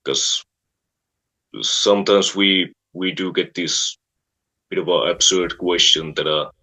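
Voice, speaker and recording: Slow, deep-voiced musician. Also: male voice, fairly even intonation, sharp tone. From Finland. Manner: slow, hesitant, dry and wry. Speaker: guest, band frontman. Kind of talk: interview answers.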